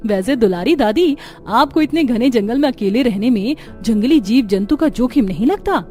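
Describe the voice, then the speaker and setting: Woman as young village daughter-in-law, outspoken, chatty, higher pitch, cartoon story acting, soft music. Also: animated, moderate pace, natural voice. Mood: friendly.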